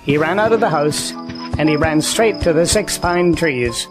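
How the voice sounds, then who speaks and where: A man's voice: on the high side, moderate pace. Man narrating a storybook over music.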